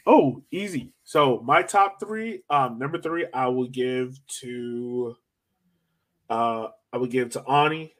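A man's voice: mid-range voice, takes it slowly, a bit bright. An American man co-hosting a sports podcast in a casual, slangy chat style, breaking down players and performances. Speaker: podcast co-host.

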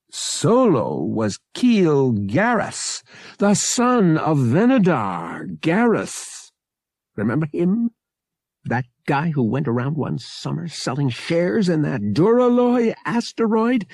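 A man's voice: fairly high pitch, big pitch swings, slow, creaky, a little mellow, dry recording, studio quality, voice pushed up, heavily acted. A man voicing an indignant, suspicious young brother who argues in an emphatic, exasperated way. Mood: accusing. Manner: emphatic, argumentative.

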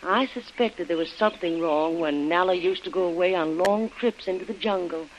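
A woman's voice: fairly low pitch, moderate pace, lightly acted. A woman playing an anxious young wife who earnestly recounts events in a vintage radio drama. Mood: uneasy recollection. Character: Helen, anxious young wife recalling her ordeal. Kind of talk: 1940s radio drama acting. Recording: muffled, noisy old radio recording.

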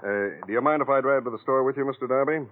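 An actor playing a shrewd, deceptively casual police captain, businesslike and probing, in a 1940s radio drama. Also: male voice, mid-range voice, quick pace, close to an everyday voice. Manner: businesslike, probing, matter-of-fact. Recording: tinny old radio recording.

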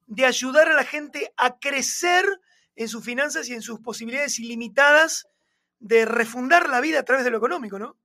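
Male co-host, extremely high pitched, bright forceful tone, effusive phrasing. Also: normal speed. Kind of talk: casual interview chat.

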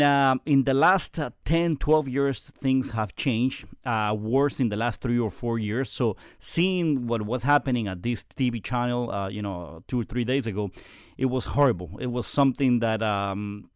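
A man from Ecuador, a guest in a radio studio, answering questions hesitantly with many pauses and restarts. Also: mid-range voice, clear, resonant tone, dry recording. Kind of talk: conversational interview answers.

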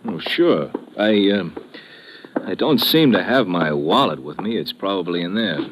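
An actor playing a surly, evasive impostor army lieutenant in old radio drama style. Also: male voice, deep voice, expressive, unhurried. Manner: gruff, evasive. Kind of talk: 1950s radio drama acting. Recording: faintly noisy old radio recording.

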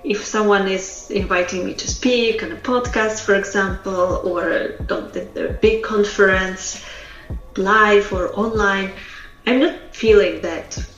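A woman from Russia, a public speaking trainer telling her story as an interview guest, slow and thoughtful with many hesitations. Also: mid-range voice, fairly even intonation, fairly bright tone. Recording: roomy echo, dull lo-fi sound, faint music.

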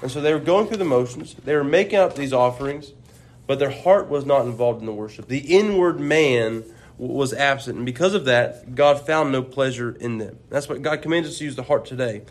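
A man's voice: mid-range voice, a little fast. A man co-hosts a casual religious podcast. He speaks earnestly and thoughtfully, circling back and restarting his phrases as he works out his point. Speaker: podcast co-host.

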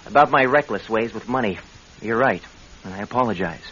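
An actor playing a sardonic, spendthrift husband in an old-time radio drama, with a breezy way of talking. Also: male voice, fairly low pitch, brisk, a bit bright, lightly acted. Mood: contrite, wheedling. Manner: sarcastic, breezy. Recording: dull, hissy vintage radio recording.

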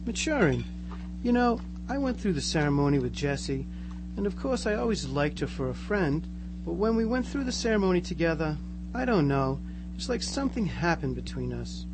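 A man's voice: a little high, sing-song, moderate pace, background noise. A man playing an eerily reassuring, converted small-town teenage boy in a radio drama, earnest and gushing. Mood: serene, reassuring. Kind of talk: live amateur radio drama acting.

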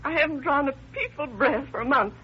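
A woman's voice: high voice, fast, close to an everyday voice. An actress playing an anxious, insistent middle-aged mother in an old-time radio crime drama. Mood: agitated, insistent. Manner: fretful, insistent, emotional. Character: anxious, determined mother fearing for her missing son. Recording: muffled, noisy old radio recording.

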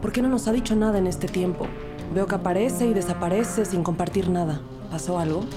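Woman acting as low-voiced detective narrator over music. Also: a little fast, close to an everyday voice. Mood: reflective. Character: female police detective Urriaga, reflective narrator, composed. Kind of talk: scripted crime audio drama narration and dialogue. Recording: music bed under the voice. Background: dramatic music.

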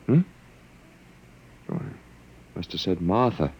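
An actor playing a weary, wry human survivor in a classic radio drama, halting and informal, with a gravelly edge. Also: male voice, sits low, animated, a little mellow, full character voice. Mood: exhausted, defeated.

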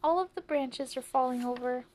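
A woman's voice: high voice, normal speed, darkish tone, voice pushed up, lightly acted. A woman voicing a fretful, sentimental old lady from a storybook, plaintive and exclamatory.

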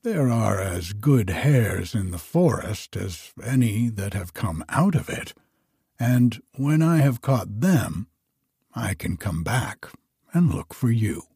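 Young prince, earnest and brave, a touch higher, husky and slow, as read by a man. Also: very animated, dark tone, dry recording, clean studio sound, heavily acted.

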